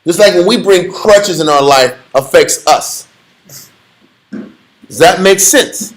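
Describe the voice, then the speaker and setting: A man's voice: high-pitched, takes it slowly, low-quality recording. Slangy, joking Bible study leader, a man. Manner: blunt, joking, slangy, emphatic. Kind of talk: casual Bible teaching.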